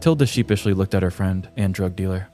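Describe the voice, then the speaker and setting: A man narrating a scripted comedic fantasy story in a theatrical, measured, mock-epic style. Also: low-pitched, warm tone. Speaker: podcast host and storyteller. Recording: prominent music behind voice.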